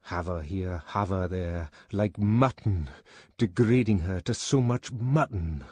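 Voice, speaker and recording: A man's voice: lowish voice, very animated, normal speed, warm tone, slightly rough, no echo, exaggerated voice. A man voicing a brooding, resentful, lovesick man muttering to himself in an audiobook. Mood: bitter. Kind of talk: audiobook character acting.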